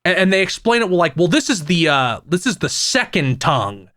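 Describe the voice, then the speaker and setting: A man's voice: high-pitched, big pitch swings, sharp tone, no room sound, clean studio sound. Chatty man rambling about games. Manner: rambling, many restarts and fillers, quick asides. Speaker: podcast co-host. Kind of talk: casual gaming podcast chat.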